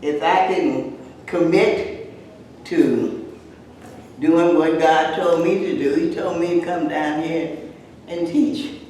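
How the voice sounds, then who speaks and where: An older woman from Texas, raised in Chicago, a retired teacher and actress reminiscing as a guest, halting and repeating words with frequent chuckles. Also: low-pitched, mellow, a little hiss or hum. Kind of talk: reminiscing at an event. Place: large indoor room. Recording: echoey room, mic far off.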